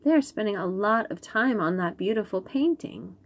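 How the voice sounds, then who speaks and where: A woman storyteller reading picture books aloud to young children, gently and with wonder, pausing to chat to the listeners. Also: mid-range voice, expressive. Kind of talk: expressive picture-book read-aloud with asides to young listeners.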